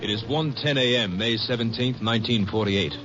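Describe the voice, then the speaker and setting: A man's voice: medium pitch, brisk. A man announcing and narrating an old-time radio drama in a formal, dramatic manner. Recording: dull old radio recording over music.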